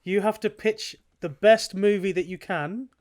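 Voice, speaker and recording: A man with a high-pitched voice hosts a gaming podcast in a loose, informal way. He explains the rules of a game as casual chat among mates. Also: fairly even intonation, measured pace, a little mellow.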